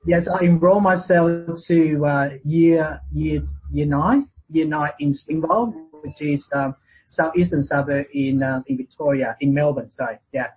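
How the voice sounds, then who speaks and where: Vietnamese-accented man, hesitant and husky. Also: fairly high pitch, moderate pace, lo-fi sound. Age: 40s. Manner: hesitant, lots of fillers and restarts. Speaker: guest. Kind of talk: casual interview.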